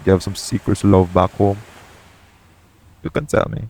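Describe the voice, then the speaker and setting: A man playing a shady, jaded yet tender pirate captain in an intimate audio roleplay, talking colloquially and frankly, with gentle teasing. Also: low voice, normal speed. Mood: playful, tender. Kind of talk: intimate audio roleplay acting addressed to the listener. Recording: clear background noise, ambient sound bed.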